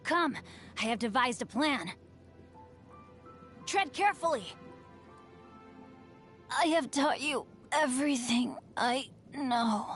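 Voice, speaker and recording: A woman's voice: high-pitched, lively intonation, slow pace, pauses often, a bit bright, soft background music, full character voice. An actress voicing an earnest, composed young woman scholar and tactician in a video game, speaking in formal, solemn declarations. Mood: resolute. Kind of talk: video game character voice lines.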